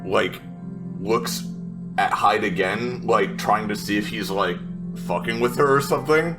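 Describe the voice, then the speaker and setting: A man playing a tabletop roleplaying game, casually narrating his character in a hesitant, halting way. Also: lowish voice, over music.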